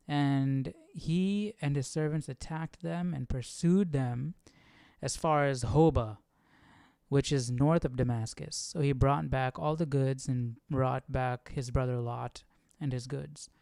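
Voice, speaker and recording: Slow, smooth-voiced man reading aloud. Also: on the high side, darkish tone, dry recording. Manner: halting, thinking aloud. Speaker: Bible study host. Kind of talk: casual reading aloud with asides.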